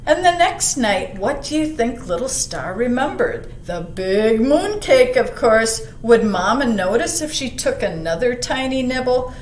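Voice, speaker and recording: A woman reading a picture book aloud to children, expressive, putting questions to her young listeners and dropping into hushed asides. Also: on the high side, measured pace, few pauses. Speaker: children's library storyteller. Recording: roomy echo, some background noise.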